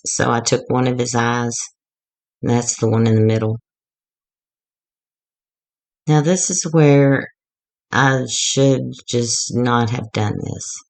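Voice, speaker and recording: A woman going hoarse as she gives a rambling, chatty, self-deprecating walkthrough of a craft project. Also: a bass voice, darkish tone. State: getting hoarse. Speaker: craft tutorial maker.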